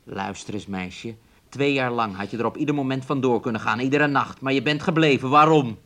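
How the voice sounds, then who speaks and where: A man's voice: mid-range voice, brisk, bright, forceful tone, lightly acted. An actor playing a menacing, mocking young man in a radio play, taunting and wheedling as he speaks of himself in the third person. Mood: scornful. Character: menacing, mocking young man bullying his sick fiancée. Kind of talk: Dutch radio play acting.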